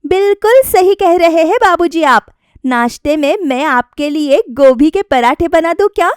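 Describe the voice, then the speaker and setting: Lady doing a young daughter-in-law, high voice, earnest, plaintive, eager to please. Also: expressive, measured pace, no room sound, studio quality. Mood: eager, dutiful.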